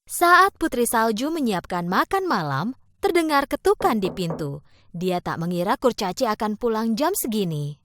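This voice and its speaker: Woman, cartoon storyteller, fairly high, very lively pitch, expressive. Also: moderate pace, slight background noise. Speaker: narrator. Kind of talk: scripted storybook narration.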